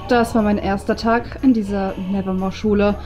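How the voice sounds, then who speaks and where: A woman playing a gloomy, deadpan teenage outcast schoolgirl in an amateur parody series, speaking in a flat, unimpressed manner. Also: mid-range voice, brisk, roomy sound, soft background music, pitched below the performer's own voice, lightly acted. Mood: gloomy, detached.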